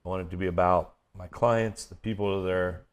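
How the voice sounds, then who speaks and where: Middle-aged man, American accent, deep booming baritone, conversational interview guest. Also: steady tone, a little fast, clear, resonant tone. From Kansas City area, United States. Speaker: guest, event MC and voiceover artist. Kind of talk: casual interview chat with stories.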